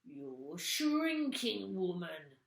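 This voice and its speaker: A woman voicing a scheming, nasty old husband who slowly and loudly taunts his wife, in an echoey room. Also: medium pitch, sing-song, creaky, heavily acted. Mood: gloating. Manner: slow, gloating, loud taunting.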